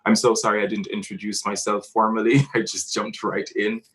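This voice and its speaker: A man with a Jamaican accent speaking earnestly and haltingly, searching for words as he reflects on his creative work. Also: on the low side, flat delivery, a bit bright. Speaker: theatre director discussing his work. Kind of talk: reflective talk about a creative piece.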